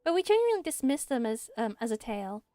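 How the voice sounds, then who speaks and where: A woman's voice: a little high, sing-song, fast, dark tone, dry recording, soft background music, pitched below the performer's own voice. A woman voicing an earnest, dutiful young female forest ranger in a fantasy game, explaining things carefully.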